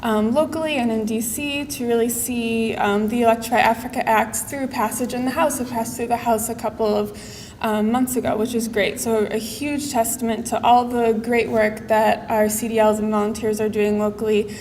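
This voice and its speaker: A woman's voice: on the high side, fairly even intonation, normal speed, a little mellow. Woman speaker with many ums in a hall. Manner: hesitant, many "um" fillers, upbeat. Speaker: advocacy staff organizer for the Midwest region. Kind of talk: conference panel talk and Q&A. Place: conference hall. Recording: reverberant hall with faint noise.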